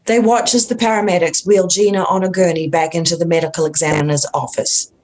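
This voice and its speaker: A woman with a slightly low voice narrates a screenplay at a table read. She delivers the stage directions in a plain, matter-of-fact way, as scripted narration. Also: fairly even intonation, a little fast, a little mellow, slight background noise, rough recording.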